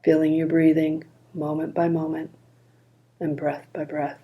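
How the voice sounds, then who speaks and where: A woman leading a guided body scan meditation in a calm, gentle, unhurried manner. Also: deep voice, lo-fi sound.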